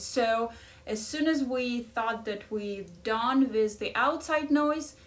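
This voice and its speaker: A woman's voice: mid-range voice, far from the mic. A middle-aged woman with a Ukrainian accent, discussing a book and coaching listeners in an encouraging way, often checking in with "right?". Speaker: life coach and podcast host.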